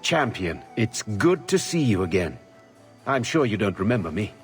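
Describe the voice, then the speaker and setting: A man's voice: lowish voice, very animated, moderate pace, soft background music. A man voicing a courteous, genial nobleman in a video game cutscene. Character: courteous, genial nobleman, mildly flustered gentleman.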